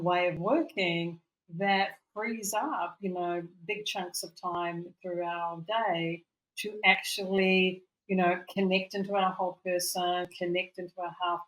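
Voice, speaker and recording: A woman's voice: on the low side, a bit bright, poor audio. A woman co-hosting a casual chat as a coach, talking reflectively and rambling, with many "you know"s and "like"s, over a remote call. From Europe. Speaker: podcast co-host and coach.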